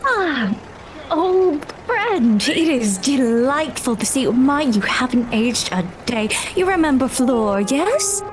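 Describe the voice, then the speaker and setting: A woman acts out an elegant society lady in a tabletop game. Her voice is slightly high, refined and teasingly fond, with music clearly playing behind her. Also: sing-song, slow, full character voice. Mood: gracious, arch. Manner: refined, uses endearments.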